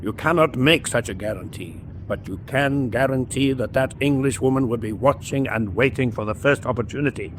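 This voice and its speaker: Man playing a measured, ruthless U-boat adviser. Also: medium pitch, a little hiss or hum, lightly acted. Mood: coolly persuasive. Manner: measured, insinuating, formal. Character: coolly persuasive, ruthless male adviser aboard a U-boat. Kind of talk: radio drama acting.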